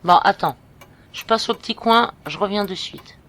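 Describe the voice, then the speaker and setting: A woman's voice: medium pitch, normal speed, fairly bright tone, natural voice. A woman playing a caring, frank and bossy young student friend, coaxing bluntly in informal audio drama dialogue. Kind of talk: amateur French audio fiction dialogue.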